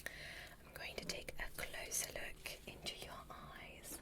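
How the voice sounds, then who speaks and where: Woman to play courteous alien space doctor for ASMR roleplay; hushed whisper, unhurried, soothing instructions. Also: natural voice. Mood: calm, curious. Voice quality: soft breathy whisper. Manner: slow, soothing, gently instructing. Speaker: ASMR performer.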